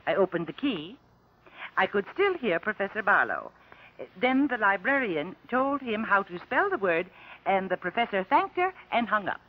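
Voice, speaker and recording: A woman's voice: medium pitch, big pitch swings, normal speed. An actress playing a nervous, earnest switchboard operator giving testimony, hesitant, in vintage radio drama style. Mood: earnest, unsure. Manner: hesitant, flustered. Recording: dull vintage radio broadcast recording.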